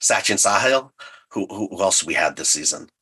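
Very low, husky man with bright edge. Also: lively intonation, moderate pace. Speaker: audio drama creator and writer, interview guest. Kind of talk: enthusiastic interview chat.

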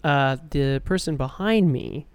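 A man's voice: a little high, a little slow, clean tone, no room sound. A man co-hosting a podcast, telling stories casually in a laid-back, slangy way. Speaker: podcast co-host. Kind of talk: casual storytelling.

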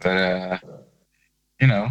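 A Norwegian man speaking English as a second language in a casual interview, often pausing to search for words. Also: sits low, dull audio, crowd noise. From Norway. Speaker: guest, singer-songwriter. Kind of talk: casual conversation.

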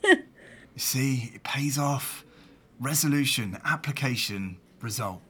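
A man's voice: mid-range voice, slow, sharp tone, slightly rough, exaggerated voice. An actor playing a placating, apologetic male colleague in an audio drama, speaking with hesitant, soothing stammers. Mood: pleased. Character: placating, apologetic colleague, mildly encouraging, uneasy. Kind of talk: naturalistic audio drama dialogue.

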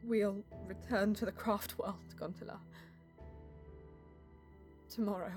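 A woman playing a grieving yet restrained Eldar bonesinger, narrating an audio drama in a hushed, measured, solemn way. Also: medium pitch, steady tone, close to an everyday voice. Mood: resolute. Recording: music behind the voice. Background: prominent music.

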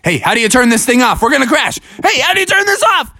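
A man's voice: very high voice, animated, takes it slowly, creaky, bright, forceful tone, dry recording, studio quality, pitched above the performer's own voice, full character voice. A man voicing a bold, friendly grizzly bear hero in a children's story. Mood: alarmed.

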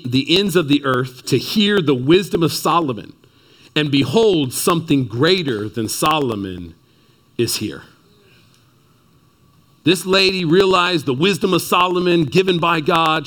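Male pastor, thirties, from Texas, fairly high voice, emphatic sermon delivery. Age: 30s. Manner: emphatic, rising to excited peaks, then dropping low and quiet. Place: church before a seated congregation.

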